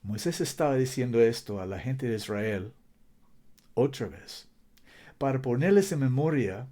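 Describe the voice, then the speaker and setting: A man preaching a sermon. He reads scripture slowly and deliberately, stresses key words with emphasis, and sometimes stumbles over his Spanish. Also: medium pitch, lively intonation, mellow. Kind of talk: sermon with Bible reading.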